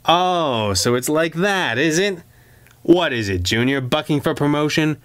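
A man's voice: on the high side, animated, measured pace, a bit bright, dry recording, voice pushed up. A man voicing a seasoned gangland undercover man in a crime story, with a smug, casual, needling manner. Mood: teasing, smug.

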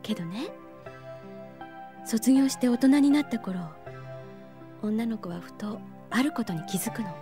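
A voice actress playing a gentle, wistful young woman teacher in an anime audio drama, soft and reflective. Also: a little high, expressive, a little slow, pauses often, faint music behind.

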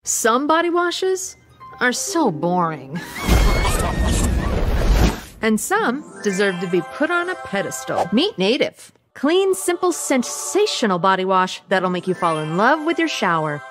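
A woman's voice: medium pitch, big pitch swings, measured pace. A woman reading a scripted commercial voice-over for an advert. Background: music.

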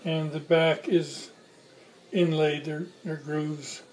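A man's voice: fairly high pitch, level tone, takes it slowly, a little mellow. A man in his sixties giving a casual, unscripted product comparison in a plain-spoken, hesitant way, speaking in short phrases. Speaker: hobbyist video reviewer. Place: home kitchen, indoors. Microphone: camera mic a few feet away. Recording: distant mic, roomy echo, faint noise.

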